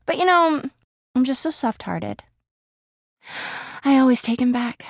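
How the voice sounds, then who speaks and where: A woman's voice: sits high, expressive, measured pace, vocal fry, dry recording, clean studio sound, full character voice. A woman voicing a clingy, jealous talking laundry hamper in a video game, gushing sweetly and flipping into bitter drama. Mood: apologetic, coy. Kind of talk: video game character voice acting.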